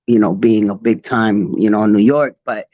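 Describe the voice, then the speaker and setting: A man's voice: fairly low pitch, fairly even intonation, moderate pace, darkish tone, dry recording, lo-fi sound. A man in his forties, born in Puerto Rico, telling stories in a loose, rambling way full of fillers during a casual interview. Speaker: guest b-boy.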